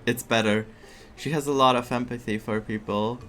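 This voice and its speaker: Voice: mid-range voice, fairly even intonation, normal speed, fairly bright tone, a little hiss or hum. A game streamer giving casual, chatty commentary on a story playthrough in an informal, reactive way. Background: game music.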